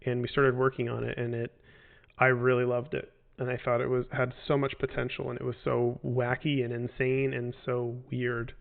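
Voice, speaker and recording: A man in his forties chatting loosely through fan questions, his voice gravelly and flat in tone. He wanders and restarts, leaning on fillers. The audio is tinny, like a phone line. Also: mid-range voice, moderate pace. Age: 40s. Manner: rambling, hesitant with many fillers and restarts. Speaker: game developer answering fan questions. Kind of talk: casual livestream Q&A.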